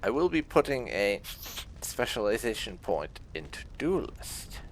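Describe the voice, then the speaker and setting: A man's voice: fairly low pitch, very animated, a little slow, slight background noise. A man giving casual let's play commentary as a gaming host, musing aloud as he goes. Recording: game music behind the voice.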